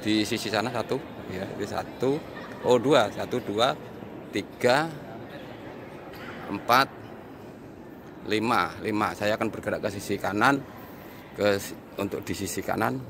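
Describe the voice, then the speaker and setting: A man giving an improvised walk-through review, explaining in a halting, repetitive way as he points things out, recorded on location. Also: fairly low pitch, noisy background. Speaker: audio reviewer and vlog host. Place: inside a large mosque, walking around.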